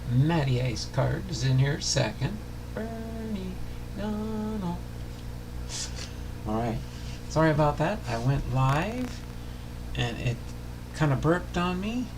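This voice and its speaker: An older man hosting a casual livestream, talking in a folksy, rambling, unhurried way with a gravelly voice. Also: a little high, big pitch swings, noisy background. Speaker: livestream host.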